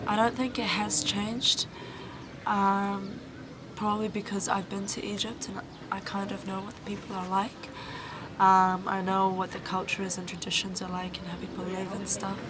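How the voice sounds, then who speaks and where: A young woman volunteer answers interview questions, earnest and halting, with frequent ums and restarts. Her voice stays fairly level in pitch at a medium height. The recording carries clear background noise throughout. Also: level tone. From New Zealand. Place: Egyptian village, indoors or outdoors. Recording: noisy background.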